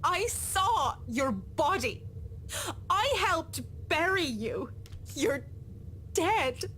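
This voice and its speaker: An actress playing an anguished grown woman haunted by her past in a visual novel game, speaking in a halting, emotional, pleading way. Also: very high voice, big pitch swings, pushed and bright, a little hiss or hum, full character voice. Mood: anguished denial.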